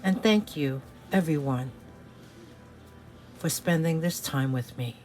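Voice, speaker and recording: A woman's voice: low voice, takes it slowly, darkish tone, faint music behind. A woman from New York City with a New York accent, chatting casually through a resale video with lots of fillers. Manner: chatty, hesitant, many fillers. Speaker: resale seller. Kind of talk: casual product showing vlog.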